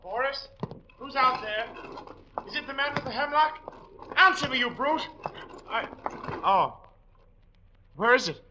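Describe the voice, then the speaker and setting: An actor playing a wasted, morbid invalid obsessed with poisons, lecturing with theatrical relish in 1940s radio style. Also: male voice, very high voice, animated, measured pace, long pauses, noisy background. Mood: agitated. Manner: theatrical, eager, relishing his macabre lectures. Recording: dull, lo-fi 1940s radio recording.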